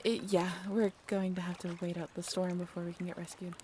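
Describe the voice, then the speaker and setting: A woman playing a caring, bashfully candid half-mermaid ship crew member in an audio roleplay, speaking straight to the listener in a halting, natural, gently bossy way. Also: lowish voice, little pitch movement, slight background noise, natural voice. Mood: sheepish, confessing. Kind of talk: audio roleplay drama spoken directly to the listener.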